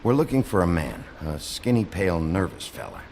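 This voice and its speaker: Very deep gravelly weary detective. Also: male voice, very animated, fairly quick, darkish tone, slight background noise. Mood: probing, wry. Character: gruff weary male sheriff detective, middle-aged, dryly wry. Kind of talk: video game acting.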